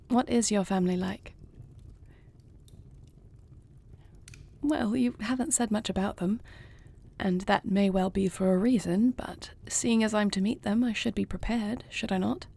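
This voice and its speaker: Woman acting soft, mellow fantasy queen, slightly creaky. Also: mid-range voice, moderate pace. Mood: gently insistent. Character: affectionate, dutiful dragon queen, newly wed to a princess. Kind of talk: intimate fantasy audio roleplay.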